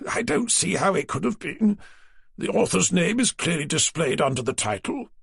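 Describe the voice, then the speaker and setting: A man's voice: high voice, big pitch swings, moderate pace, vocal fry, a bit bright, slightly rough, no room sound, studio quality, pitched above the performer's own voice, full character voice. A man voicing a fussy, flustered middle-aged male publisher who talks primly and defensively. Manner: prim and defensive.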